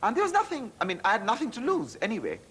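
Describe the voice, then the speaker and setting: Husky Indian man, lively interview. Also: high voice, rapid-fire. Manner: animated, self-deprecating, with frequent restarts. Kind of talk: candid interview talk.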